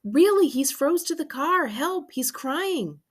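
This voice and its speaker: Female narrator as excitable boy, storybook, urgent, exclaiming, high. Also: measured pace, full character voice. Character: excitable schoolboy narrator calling urgently for help.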